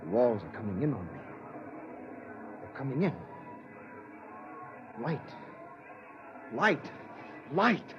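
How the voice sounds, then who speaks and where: Slow, terrified, halting man. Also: medium pitch, sing-song, music in the background. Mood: broken terror. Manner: halting, repeating words in dread. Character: panicky, claustrophobic man terrified of the dark. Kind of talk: 1950s radio drama acting. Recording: muffled old radio recording.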